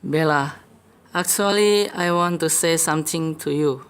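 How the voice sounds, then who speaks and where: A woman's voice: sits low, unhurried, clear, resonant tone. A woman playing an earnest young man, an older brother in love, in an amateur radio play with careful scripted delivery. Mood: earnest, nervous.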